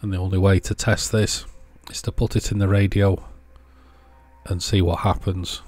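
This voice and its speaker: A man with a fairly low voice hosts a hands-on electronics demonstration. He explains each step in a measured, methodical way, as a voice-over to the work on the bench. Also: little pitch movement, darkish tone. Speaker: host of an electronics repair channel.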